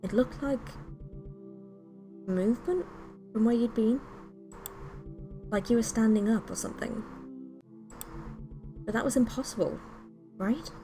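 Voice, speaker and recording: A woman's voice: fairly high pitch, unhurried, pauses often, music in the background, pitched above the performer's own voice, natural voice. A woman voicing the regretful, badly wounded narrator of a spooky visual novel. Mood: bewildered.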